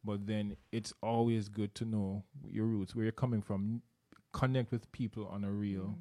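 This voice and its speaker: A man's voice: lowish voice, little pitch movement, moderate pace, warm tone. A middle-aged man from Jamaica with a Jamaican accent, talking earnestly as an interview guest and often repeating words as he searches for them. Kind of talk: casual interview.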